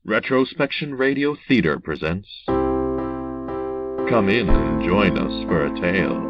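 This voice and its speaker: A man acts out an ominous radio mystery host in the 1930s style. His theatrical delivery swings widely in pitch. Loud music runs behind the muffled, distant recording. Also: expressive, slow. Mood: ominous, inviting. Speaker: host and narrator of an audio drama. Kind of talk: 1930s-40s radio drama announcing.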